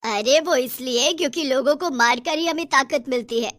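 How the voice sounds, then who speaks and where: Cartoon horror witch, played by a woman: high, gloating, bossy, taunting cackler, dry close sound. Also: normal speed, fairly bright tone, no echo. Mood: pleased, teasing. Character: gloating, bossy, selfish female witch who kills people. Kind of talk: Hindi horror cartoon story acting.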